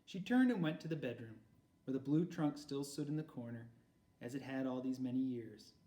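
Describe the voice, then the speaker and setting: A man reads a story aloud as narrator, with an expressive delivery. His voice is mellow and medium in pitch. It is heard a little away from the microphone in an echoey room. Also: moderate pace. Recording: roomy echo, far from mic.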